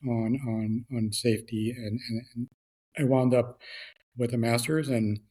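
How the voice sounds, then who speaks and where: Middle-aged New York-raised guest, hesitant and filler-heavy, mid-pitched, remote call. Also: male voice, steady tone, warm tone. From New York, the Bronx. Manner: halting, many fillers and repeated words. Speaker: guest, regulatory affairs expert. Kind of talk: casual interview chat.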